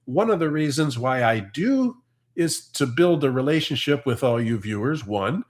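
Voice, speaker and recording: A Canadian man in his forties or fifties with a Canadian accent, hosting a farm livestream and reading out viewers' comments in a chatty, easygoing way. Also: medium pitch. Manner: chatty, easygoing, laughs often. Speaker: farm channel host reading live comments. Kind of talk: casual livestream chat.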